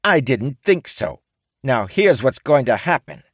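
A man voicing a brash female orc who used to be a man, loud and bossy. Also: medium pitch, big pitch swings, slow, dry recording, clean studio sound, voice pushed up. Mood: smug.

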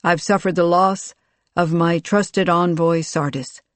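Narrator doing big booming ruler guy, formal and imperious, audiobook character, really dry close sound. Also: steady tone, unhurried, no echo, lightly acted. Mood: stern, imperious. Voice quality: booming, loud. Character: towering ageless military ruler, booming baritone, commanding, teasing.

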